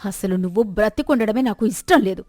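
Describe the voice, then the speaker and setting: A woman storyteller voicing a greedy, scheming village wife with a sharp tongue and a complaining manner. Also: mid-range voice, animated, fairly quick, no room sound, clean studio sound, lightly acted. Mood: angry, defiant. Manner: scornful, self-pitying complaining.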